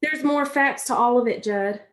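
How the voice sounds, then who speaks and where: A woman's voice: fairly high pitch, moderate pace, fairly bright tone, lightly acted. An actress playing a loyal, reassuring young country woman in a stage play reading, plain-spoken and earnest. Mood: earnest reasoning. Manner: folksy, earnest, reasoning things out.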